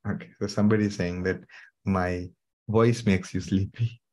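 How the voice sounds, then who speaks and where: A man's voice: steady tone, moderate pace, a little mellow. A middle-aged man with an Indian English accent, presenting a tech webinar in a soothing, conversational way with frequent "okay"s. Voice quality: soothing, low voice. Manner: conversational, many fillers like "okay" and "right". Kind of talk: live online tech webinar.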